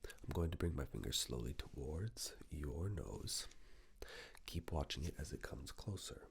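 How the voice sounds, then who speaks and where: Male ASMR performer as medical examiner: breathy husky whisper, very slow, soothing, measured delivery. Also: expressive. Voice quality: breathy, husky whisper mixed with very low soft voice. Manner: soothing, measured instructions in short phrases.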